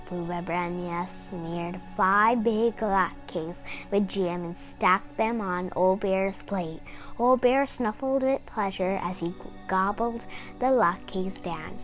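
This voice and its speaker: A woman reading a children's storybook aloud in an expressive narrating style. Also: mid-range voice, slow, faint music behind.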